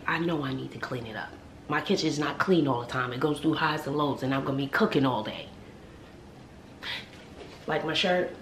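A middle-aged American woman chatting casually to camera in a sassy, emphatic, comedic way, repeating herself for effect. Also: low voice. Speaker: vlogger. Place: indoors at home, mostly in a kitchen. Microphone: handheld or nearby camera at arm's length. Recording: echoey room with clear background noise.

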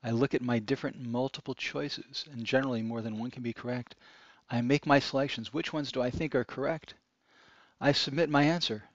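A man's voice: mid-range voice, steady tone, fairly quick, no room sound. A man narrating a screencast walkthrough of a website, explaining each click step by step in an upbeat manner. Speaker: product demo presenter. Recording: dry, slightly dull sound.

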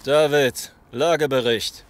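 An actor playing a ruthless, domineering gang leader in a German audio drama, commanding and condescending with menace. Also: male voice, medium pitch, steady tone, slow, lightly acted. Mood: cold, commanding.

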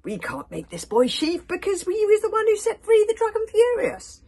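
A woman voicing a scornful storybook Viking man, loud, roaring and grumbling. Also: lowish voice, very animated, fairly quick. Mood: outraged.

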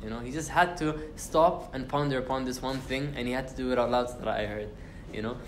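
Man teaching a relaxed study class. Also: mid-range voice, fairly quick, reverberant, slight background noise. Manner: conversational, many fillers and restarts, recites Arabic verses. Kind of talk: informal tafsir class talk. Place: university lecture room.

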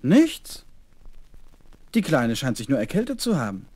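A man playing a kindly doctor and father in a children's radio play, playfully courteous and gently teasing. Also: medium pitch, huge pitch swings, a little slow, husky, exaggerated voice. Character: kindly fatherly doctor humoring his little daughter.

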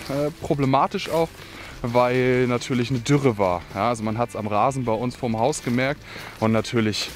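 A young man talks loosely to a camera he holds at arm's length while walking in a forest. He rambles with fillers and asides as he airs his opinions. His voice sits at a middling pitch over a faint outdoor hush. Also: a little hiss or hum. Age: young adult. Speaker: outdoor vlogger. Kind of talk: casual chat to camera. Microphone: camera held at arm's length.

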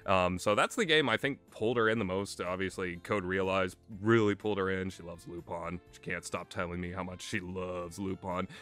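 Bright-voiced man rambling about games. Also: deep voice, fairly even intonation, normal speed, hardly any pauses. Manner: conversational, opinionated, emphatic. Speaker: video game reviewer. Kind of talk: casual rambling commentary. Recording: music playing under the voice. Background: background music.

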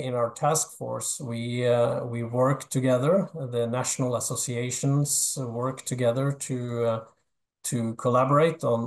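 Middle-aged man, hesitant and halting, filler-heavy webinar intro on a video call. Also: medium pitch, creaky. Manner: hesitant, many fillers and restarts. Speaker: park network task force speaker.

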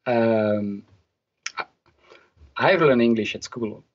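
A young man speaks English with a French accent, pausing often with ums and uhs and slipping in playful remarks. His voice sits at an ordinary pitch. The sound is dull and lo-fi, like a remote call. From France. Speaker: guest. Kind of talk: casual interview.

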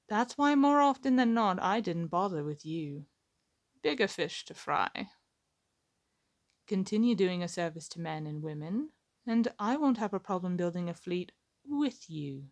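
A woman playing an assertive, wry captive pirate admiral in an ASMR roleplay, with a formal, theatrical, teasing delivery. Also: on the low side. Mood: proud, composed.

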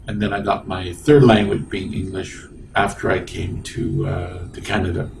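A man's voice: low voice, little pitch movement, normal speed, vocal fry, distant mic, noisy background. A man in his sixties, born in Egypt with Arabic as his first language, recalling his past hesitantly with many ums in a small office interview. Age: 60s. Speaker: interviewee, engineering professor. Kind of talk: oral history interview. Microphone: lapel mic.

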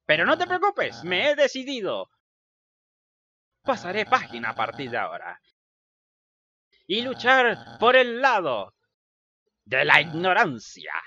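A man voicing a vain, insecure young blue bird in a video game fandub, theatrical, halting and self-important. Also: high-pitched, huge pitch swings, bright, forceful tone, highs cut off, full character voice. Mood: grandly resolved.

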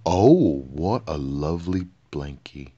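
Man playing a slow, whining sleepless bear king. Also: deep voice, animated, dark tone, muffled recording, full character voice. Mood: pleased, hopeful. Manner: plaintive, drawn-out moaning.

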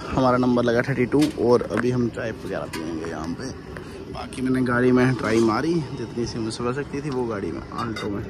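A young man chats informally for a casual outdoor vlog. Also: medium pitch. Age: young adult. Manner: informal, chatty. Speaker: vlogger. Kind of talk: casual vlog chat while riding and out on the street. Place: outdoors, on a motorbike and at roadside. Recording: loud traffic and wind noise.

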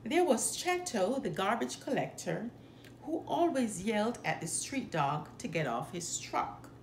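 Slow, lilting woman reading a storybook. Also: mid-range voice. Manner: careful, expressive reading with deliberate phrasing. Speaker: storyteller, channel host. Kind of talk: children's storybook read-aloud. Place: indoor living room by a fireplace. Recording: roomy echo, some background noise.

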